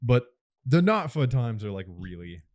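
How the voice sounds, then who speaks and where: एक आदमी, तीस के दशक में, पॉडकास्ट पर हल्के-फुल्के अंदाज़ में बात करता हुआ, अक्सर हँसता और सोचते-सोचते बोलता हुआ।. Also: male voice, medium pitch, measured pace. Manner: animated, laughs often, thinks aloud. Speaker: podcast co-host. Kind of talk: casual chat.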